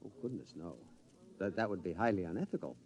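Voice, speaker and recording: An actor playing a secretive henpecked husband giddy with new freedom, chummy and confiding, in a 1950s radio drama. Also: male voice, sits low, lively intonation, fast talker, a little mellow. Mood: smug, casual. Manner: chummy, confiding, hesitant repetitions. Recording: dull 1950s radio recording, faint noise.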